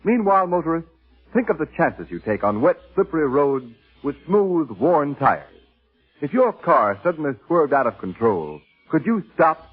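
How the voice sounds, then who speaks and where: Old time radio ad guy, highish voice, really animated, tinny sound. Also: moderate pace, breathy edge. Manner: emphatic, declamatory. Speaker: announcer reading a sponsor message. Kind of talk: 1930s radio advertising announcement. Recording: tinny old radio recording, music behind.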